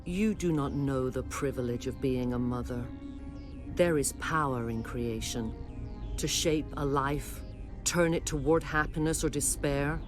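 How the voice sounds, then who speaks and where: An actress playing a cold, imperious alien matriarch villain, formal and menacing, in a dramatic video game cutscene. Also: female voice, a bass voice, measured pace. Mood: cold, grandiose. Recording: prominent dramatic music behind the voice.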